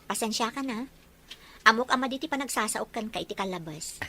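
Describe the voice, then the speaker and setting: A woman's voice: fairly high pitch, expressive, fairly quick, sharp tone. A woman playing a guarded, modest young working woman in an audio drama. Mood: shy, softening. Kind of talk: Ilocano audio drama acting.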